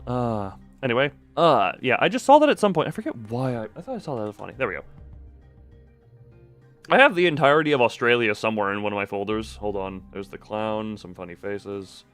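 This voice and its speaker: A man's voice: lowish voice, fairly bright tone, smooth. A man hosting a livestream, rambling casually and jokingly as he goes off on tangents and talks back to chat. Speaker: livestream host, streamer.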